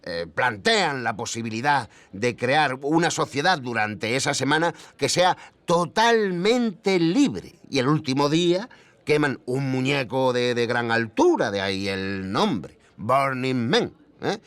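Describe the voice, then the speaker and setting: A man playing a rambling expert interviewee who explains in a halting, conversational way, often repeating himself. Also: mid-range voice, big pitch swings, a bit bright, dry recording. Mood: amused, explaining.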